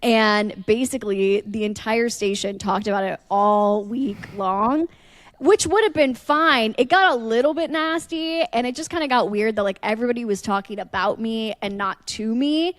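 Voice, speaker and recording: A woman's voice: fairly high pitch, moderate pace, dry recording. A woman chatting casually as a podcast host, telling a story and acting out what people said.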